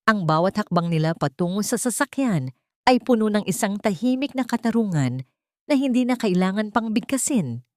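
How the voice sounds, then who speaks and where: A woman reading a scripted dramatic story as narrator, in a measured, solemn storytelling manner. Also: mid-range voice, expressive, no room sound, studio quality. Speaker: narrator of love stories.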